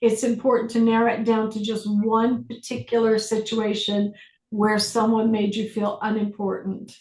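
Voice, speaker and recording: Calm woman guiding a group, echoey room. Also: on the high side. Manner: calm, slow, deliberate prompting. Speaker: facilitator. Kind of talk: guided inquiry on a group video call. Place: online group session.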